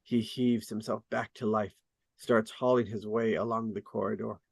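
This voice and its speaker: A man reading screenplay stage directions as scripted narration, with deliberate dramatic emphasis. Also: on the low side, little pitch movement, creaky, darkish tone, husky, no room sound. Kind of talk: scripted narration at a table read.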